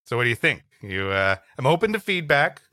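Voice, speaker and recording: A man's voice: mid-range voice, big pitch swings, brisk, bright, forceful tone, dry recording, studio quality. A man co-hosting a comedy podcast, bantering and reading stories. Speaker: podcast co-host. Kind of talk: comic banter and story reading.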